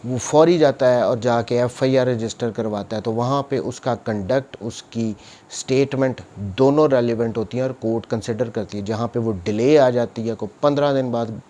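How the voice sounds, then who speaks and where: A Pakistani man delivers an explanatory law lecture in a mellow, relaxed voice of medium pitch. He is didactic, setting up questions and answering them himself. English legal terms are mixed through his speech. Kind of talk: explanatory lecture, reading statute aloud and explaining.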